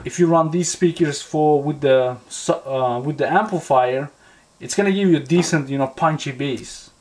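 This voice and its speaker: Higher-voiced man reviewing casually. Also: a little mellow. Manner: halting, repetitive, thinking aloud. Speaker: product reviewer and vlogger. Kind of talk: casual hands-on review talk. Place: indoors at a tabletop.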